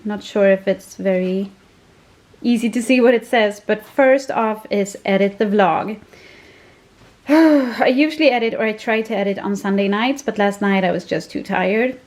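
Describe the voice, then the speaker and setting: A woman's voice: mid-range voice, normal speed, darkish tone. Chatty woman vlogging at home. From Sweden. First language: Swedish. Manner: chatty, laughs often, loose fillers. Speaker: family vlogger. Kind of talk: casual vlog chat. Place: family home, indoors. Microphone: handheld camera at arm's length.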